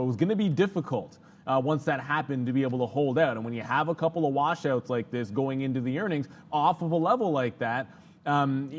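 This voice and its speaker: A man working as an analyst on a live trading show, explaining the market off the cuff in long, winding sentences. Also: medium pitch. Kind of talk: unscripted analytical market commentary.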